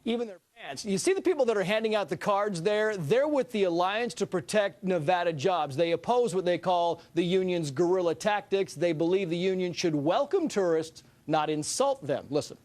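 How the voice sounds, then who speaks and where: A man's voice: high voice, expressive, moderate pace, hardly any pauses, darkish tone. A male correspondent delivering a news report from the newsroom.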